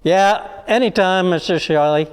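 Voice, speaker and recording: A man's voice: high-pitched, measured pace, music in the background. An actor playing a furtive, obliging informant reporting to his boss in a noir radio play. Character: deferential male informant tipping off a boss. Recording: echoey hall.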